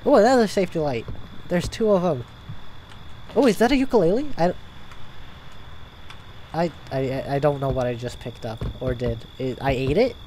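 A young man with a stuffy nose, chatting and reacting out loud to scares as he plays a horror game at home. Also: a little high, moderate pace, pauses often, slight background noise, poor audio. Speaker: gaming YouTuber. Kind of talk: horror game let's play commentary. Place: home gaming room, indoors.

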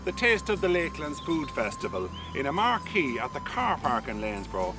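A middle-aged Irish man presents with bright, promotional energy, his voice rising and falling across a very wide range. He is recorded outdoors a little away from the mic. Music runs clearly beneath him. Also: fairly high pitch, big pitch swings, fairly quick. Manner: upbeat, promotional. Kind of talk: outdoor piece to camera. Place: outdoors on a riverside promenade. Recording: with music behind, mic a bit distant.

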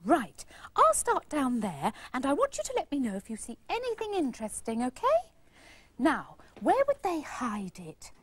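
A woman's voice: sits high, huge pitch swings, normal speed, slightly rough, full character voice. A woman voicing a childlike girl puppet in a children's show, plaintive, dramatic and easily indignant. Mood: coaxing, scheming.

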